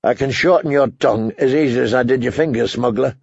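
A man voicing a stern, bitter, unbending king in an audiobook, gravelly and husky, with a commanding, humorless manner. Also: medium pitch, fast talker, no room sound, muffled recording. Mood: menacing.

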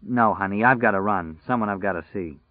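A man's voice: fairly low pitch, fairly quick, no room sound, natural voice. A man voicing a hungover young man who brushes people off curtly, in a slightly dull lo-fi recording. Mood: evasive. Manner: curt, brushing off.

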